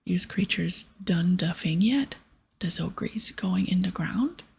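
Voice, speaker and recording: A blunt, simple-minded ogre from a fantasy game, whispered by a woman. The speech is broken and clumsy, delivered in an extremely breathy hush with crackly fry. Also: on the low side, lively intonation, slow pace, exaggerated voice. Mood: vengeful.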